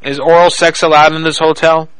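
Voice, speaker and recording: A man's voice: a little high, level tone, a little fast, fairly bright tone. A man making a prank phone call in a crude, pushy, mock-serious manner. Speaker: prank caller.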